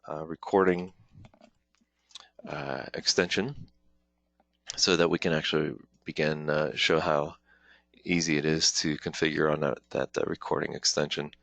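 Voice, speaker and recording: Male webinar trainer, very deep voice, dry sound, informal and halting step-by-step tutorial delivery. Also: fairly even intonation, vocal fry, a bit bright, no echo. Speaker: webinar presenter, product trainer. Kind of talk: informal software tutorial, live demonstration walkthrough.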